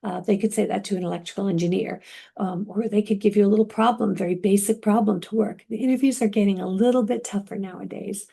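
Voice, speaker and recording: A woman teaching a recorded class. She has a mellow, relaxed tone with a gravelly edge, and she talks conversationally, filling gaps with ums and restarting phrases. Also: medium pitch, quick pace. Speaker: instructor.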